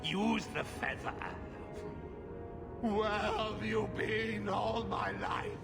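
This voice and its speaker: A man's voice: sits high, fairly even intonation, very slow, gravelly, over music, full character voice. A man playing a deranged, greedy and lecherous nobleman in a fantasy video game, theatrical and self-indulgent. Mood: lecherous delight.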